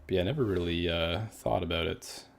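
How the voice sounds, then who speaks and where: A man's voice: low-pitched, a little fast, vocal fry, faint music behind. A young Canadian man streaming a game, chatting casually with viewers in a laid-back way, with a Canadian accent. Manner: laid-back, reads chat aloud, many fillers. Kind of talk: casual live-stream chat while gaming.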